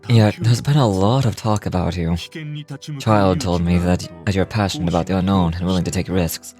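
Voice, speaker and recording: An actor playing a polite, earnest, apologetic young man in a fantasy game, speaking in a measured way. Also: sits low, steady tone, over music, close to an everyday voice. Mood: earnest, persuasive. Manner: polite, honest-sounding, measured. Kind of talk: video game dialogue acting.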